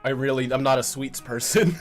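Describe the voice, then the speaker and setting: A man's voice: medium pitch, normal speed, soft background music. A man co-hosting a livestream with casual, jokey, exclamatory banter. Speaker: livestream co-host.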